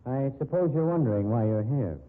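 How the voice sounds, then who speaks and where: A man's voice: mid-range voice, lively intonation, a little fast, a little hiss or hum, natural voice. An actor playing a hard-boiled, cynical male store investigator in 1950s radio drama style. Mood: accusing, bullying. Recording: muffled, dull 1950s radio recording.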